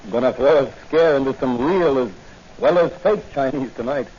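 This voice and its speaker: A man's voice: mid-range voice, normal speed, a little mellow, close to an everyday voice. An actor playing a bold, playful policeman hero in 1940s radio adventure style. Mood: confident, wry. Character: confident crime-fighting policeman hero, wry and bold. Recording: muffled noisy 1940 radio recording.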